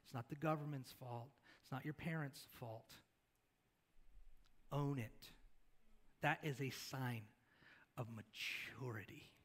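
A man's voice: mid-range voice, fairly even intonation, slow pace, pauses often, breathy edge. A middle-aged male pastor preaching from a church stage into a headset mic. He talks in a conversational way, asking the congregation questions and gesturing as he moves around. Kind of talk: sermon.

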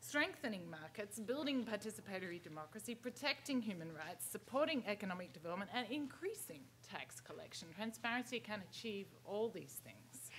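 A woman's voice: medium pitch, a little fast, few pauses, slight background noise. A middle-aged woman from Australia, speaking as a panelist at a conference podium in a large hall, chatty with many restarts. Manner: lively, chatty asides with restarts. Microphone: podium microphone.

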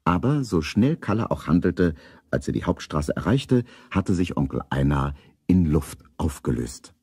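A man's voice: deep voice, very animated, a little slow, mellow, breathy edge, no echo, studio quality, heavily acted. A man narrating a 1970s German children's radio play in a scripted storybook style. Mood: measured storytelling.

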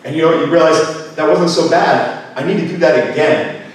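A middle-aged man giving a lively workshop talk on a handheld mic in a conference room, asking the audience questions. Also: a little high, normal speed. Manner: energetic, gestures, asks the room questions. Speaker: business speaker. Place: conference room with projector screen. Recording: echoey room from far off, faint noise.

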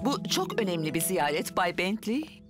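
Anxious, lively-pitched lady mayor. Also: moderate pace. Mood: worried. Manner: worried, formal. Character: anxious, fussy middle-aged female town mayor. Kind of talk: animated cartoon dub acting. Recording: music bed behind the voice.

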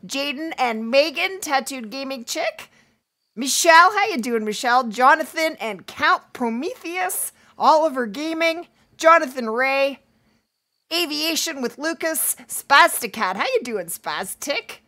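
A woman's voice: sits high, expressive, unhurried, bright, forceful tone. A woman streaming a hunting game live, chatting and greeting her viewers by name while she is losing her voice. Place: home streaming setup.

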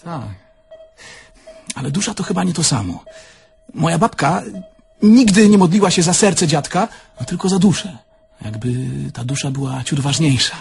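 An actor playing a naive, candid young Polish car thief in an audio drama, talking in a plain, conversational way. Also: male voice, a little high, expressive, normal speed, creaky, pauses often, a little mellow, faint music behind. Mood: subdued. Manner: conversational, plain-spoken, reminiscing.

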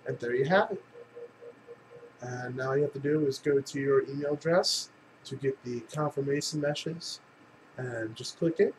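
A man's voice: medium pitch, fairly even intonation, moderate pace, slight background noise, rough recording. A man giving a casual comedic tutorial in a tongue-in-cheek, mock-instructional way with casual swearing. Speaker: tutorial presenter.

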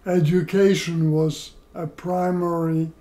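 An older man from Poland, seated at home, telling his life story in a slow, measured way with many pauses, recorded by a camera across the room. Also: fairly high pitch, little pitch movement, dark tone, a little hiss or hum. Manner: slow, measured, pausing between phrases. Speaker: interviewee. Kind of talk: oral history storytelling. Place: living room, indoors.

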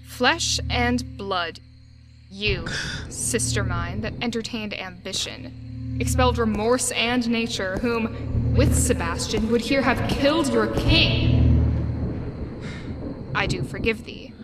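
Woman acting a grand solemn exiled sorcerer duke, highish voice, slow shakespeare verse, music behind. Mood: sorrowful, stern. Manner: grand, declamatory, deliberate.

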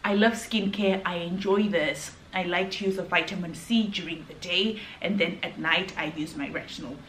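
A woman's voice: on the low side, moderate pace, fairly bright tone. Chatty woman vlogger in an echoey room. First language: not English. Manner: chatty, earnest, restarts often. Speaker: beauty vlogger. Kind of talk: casual skincare review to camera. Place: hotel room, indoors. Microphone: camera at arm's length. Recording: echoey room, faint background hum.

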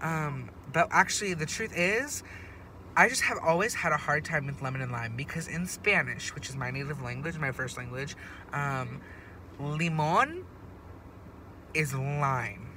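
Teen boy co-host, bright voice, playful banter, phone mic in a car. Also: fairly high pitch, lively intonation, a little slow, slight background noise. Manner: playful, jokey, chatty. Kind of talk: casual chat. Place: parked car. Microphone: phone camera on dashboard.

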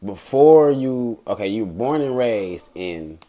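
A man's voice: medium pitch, lively intonation, unhurried, mellow, muffled recording. A man hosting an interview, asking easygoing questions and filling with casual asides. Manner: easygoing, fills with "man" and "you know". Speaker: host.

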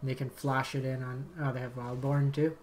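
A man, slightly raspy from a long day of talking, calmly commentating his card game as he plays, thinking aloud and muttering asides. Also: mid-range voice, normal speed, a little hiss or hum. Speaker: game streamer. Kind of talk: casual gameplay commentary. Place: home streaming setup.

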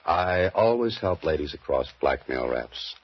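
A man's voice: a bass voice, sing-song, measured pace, slightly rough, no room sound, heavily acted. An actor playing a dry, wisecracking male mystery writer in a 1940s radio drama. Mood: dry, wary. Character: wry male mystery writer turned adventurer. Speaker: lead actor. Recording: dull old radio broadcast with music.

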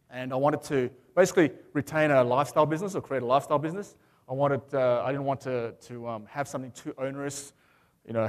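Aussie guy in his 40s giving a conference talk, loose and chatty, lots of ums, lapel mic in a big hall. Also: medium pitch. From Australia. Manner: relaxed, rambling, lots of fillers. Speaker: conference speaker. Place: large conference hall stage.

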